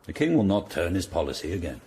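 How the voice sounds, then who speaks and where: Senior Tudor courtier: older, deep, English, aristocratic, authoritative, shrewd. Period radio play, slight background noise. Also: male voice, big pitch swings, quick pace, vocal fry, dark tone, exaggerated voice. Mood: grave, resigned. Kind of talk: radio drama acting.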